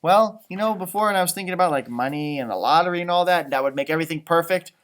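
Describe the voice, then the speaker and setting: A man reading a storybook aloud to young children, pausing for musing, simply worded asides in an expressive manner. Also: fairly high pitch. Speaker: storybook reader, elementary school teacher.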